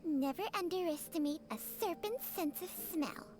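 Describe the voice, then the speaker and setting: A woman's voice: very high voice, normal speed, noisy background. A woman playing a small, cute and smug fantasy creature in a video game. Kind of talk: scripted video game voice acting. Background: loud game music.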